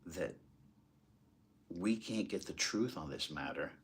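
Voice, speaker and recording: An American man with a very low voice delivers impassioned commentary. His tone is husky and grainy. He talks in halting, emphatic phrases, as if working the argument out while he speaks. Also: lively intonation. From United States, moved there as a small child. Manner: halting, emphatic, rhetorical questions. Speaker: political commentator.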